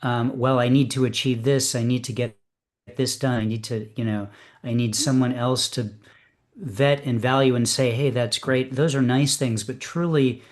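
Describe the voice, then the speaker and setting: A man hosting an online open poetry reading, chatting casually and pausing often with fillers and restarts. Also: medium pitch, steady tone. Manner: hesitant, full of ums and restarts. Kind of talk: casual chat.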